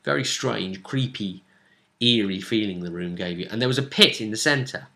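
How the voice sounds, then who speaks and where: A man's voice: lowish voice, moderate pace, creaky, pushed and bright. A man explaining a tabletop role-playing session as game master in casual conversation, often turning statements into tag questions.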